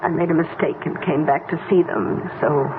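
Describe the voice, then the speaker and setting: An actress playing a brisk, weary woman contact who is trapped abroad, in a 1970s radio drama. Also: low voice, steady tone, noisy background, close to an everyday voice. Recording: narrow, phone-like old radio broadcast.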